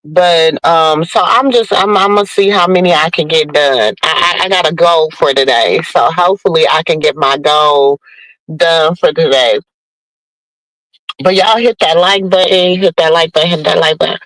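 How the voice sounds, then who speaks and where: A woman's voice: low-pitched, normal speed, a bit bright, no room sound, poor audio. Woman host with a drawl, nasal and stuffed up, chatty and familiar, dry close sound. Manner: drawling, calls listeners baby and y'all. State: nasal from a sinus infection. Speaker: podcast host. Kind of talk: casual chat to viewers.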